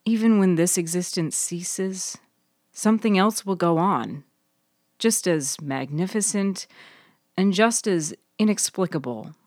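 Unruffled, sage-like woman for audio fiction female narrator acting, lowish voice, philosophical, gently lecturing, dry close recording. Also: measured pace, no room sound. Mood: calm, philosophical. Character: Heck, elegant, wise, unruffled mysterious woman. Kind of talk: dramatised audiobook dialogue.

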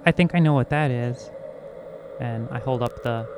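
A man's voice: medium pitch, moderate pace, heavy background noise. A man playing a tabletop game, improvising in character in a brief, matter-of-fact manner.

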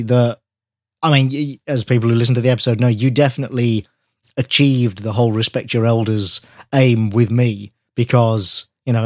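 British male co-host, mid-pitched, reflective and hedging, dry unroomy recording. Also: darkish tone, no echo. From United Kingdom. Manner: thoughtful, hedging with "you know" and "I mean". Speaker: podcast co-host. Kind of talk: casual chat.

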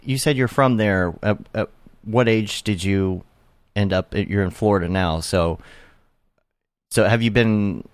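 A man's voice: on the low side, measured pace, dry recording. A man hosting a casual chat, rambling and restarting his questions in a friendly way. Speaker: host.

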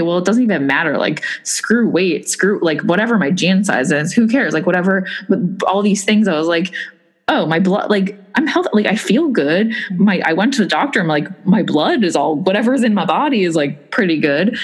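A young woman in casual chat, telling a personal story in a rambling way with many fillers and restarts. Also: lowish voice. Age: young adult. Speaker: blogger recounting personal experience.

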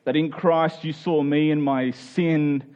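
Male preacher, higher-set voice, earnest exhortation, emphatic repeats, slightly muffled lo-fi sound. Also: fairly even intonation, takes it slowly, a little mellow. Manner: emphatic repetition of short phrases. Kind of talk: sermon with scripture reading and prayer.

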